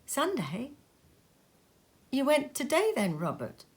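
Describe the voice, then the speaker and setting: A woman voicing a kindly Victorian wife and mother in a storybook reading, with a gentle, caring manner. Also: high voice, wildly swooping pitch, takes it slowly, voice pushed up, full character voice. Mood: gentle concern.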